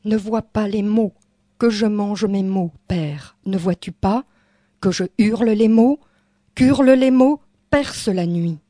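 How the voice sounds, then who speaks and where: A woman performing spoken-word poetry in an incantatory, repetitive way, swinging between hushed and forceful delivery. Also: mid-range voice, fairly even intonation, a little slow, no room sound. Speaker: poet.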